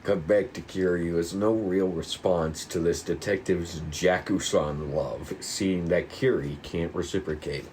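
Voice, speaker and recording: Guy reading script directions aloud, deeper voice, bit of background noise. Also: fairly even intonation, a little slow, hardly any pauses, darkish tone. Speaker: narrator of script table read. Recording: noisy room recording.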